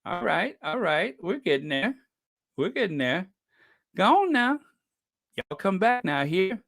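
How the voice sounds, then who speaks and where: A live-stream host winds down a broadcast in loose, rambling talk and greets chat viewers by name. The delivery is slow and unhurried, and the pitch swings widely as they joke and chuckle. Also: sing-song, a bit bright. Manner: rambling, joking, chuckling at own jokes.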